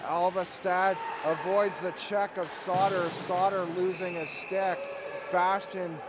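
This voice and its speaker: A man with a cold, hoarse and losing his voice, calling ice hockey play-by-play in a steady, measured way from an arena. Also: high-pitched, noisy background. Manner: steady, measured call that climbs to shouting on goals. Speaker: play-by-play commentator.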